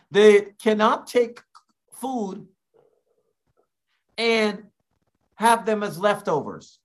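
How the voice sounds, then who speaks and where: A man from Guyana, an evangelist, preaching a sermon in a slow, deliberate way with emphatic rises. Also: high-pitched.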